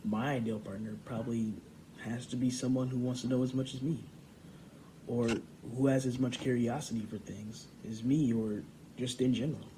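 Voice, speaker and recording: Brief: a man doing an earnest French thinker, mid pitch, noisy background. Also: fairly even intonation, normal speed, warm tone, lightly acted. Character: proud French male philosopher. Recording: noisy home recording.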